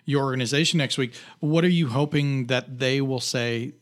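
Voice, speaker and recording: A man's voice: mid-range voice, normal speed. Conversational male co-host. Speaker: talk show co-host. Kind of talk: casual political discussion.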